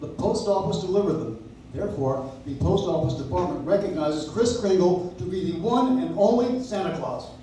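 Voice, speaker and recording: Man as an earnest, mellow high-voiced lawyer, echoey. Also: animated, normal speed, a little hiss or hum. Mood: earnest, insistent. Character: earnest, formal, persistent defense lawyer. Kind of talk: live stage re-creation of old-time radio play. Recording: distant audience recording in echoey cafe.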